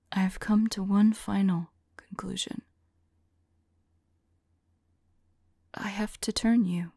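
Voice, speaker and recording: A woman playing a tender, sorrowful vampire lover in an ASMR roleplay, speaking softly and intimately in a breathy, hesitant whisper. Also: mid-range voice, no room sound, exaggerated voice. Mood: anguished, resolute. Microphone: close microphone. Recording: close, dry microphone.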